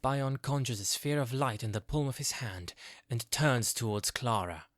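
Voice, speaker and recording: A man's voice: mid-range voice, moderate pace, fairly bright tone, no room sound. A man narrating a scripted fantasy audio drama in a storytelling style.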